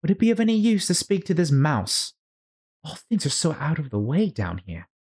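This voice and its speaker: A man voicing a polite, anxious young girl in a storybook, with a high, light voice and an earnest, pleading manner. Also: wildly swooping pitch, moderate pace, a little mellow, husky, heavily acted. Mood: hopeful.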